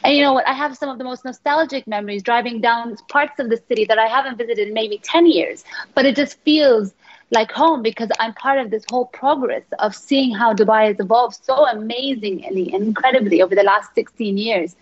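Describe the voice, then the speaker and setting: A woman's voice: on the high side, fairly quick, dull audio. A woman born in England, a radio guest, chatting casually and telling stories with many fillers and restarts.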